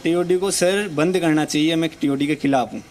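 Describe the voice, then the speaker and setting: A man's voice: a little high, brisk, darkish tone. A man in his twenties, an army aspirant, speaking passionately and pleading in a street interview. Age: 20s. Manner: impassioned, pleading.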